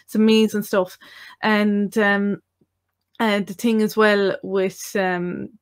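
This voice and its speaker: An Irish woman in casual chat who speaks haltingly, with many restarts. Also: medium pitch, little pitch movement, clear, resonant tone.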